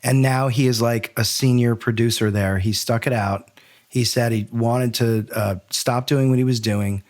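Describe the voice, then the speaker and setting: A man's voice: mid-range voice, steady tone, moderate pace, darkish tone. A man with a mid-range voice hosts a casual interview podcast. He speaks informally, in loose, run-on sentences, like someone swapping stories. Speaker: podcast host.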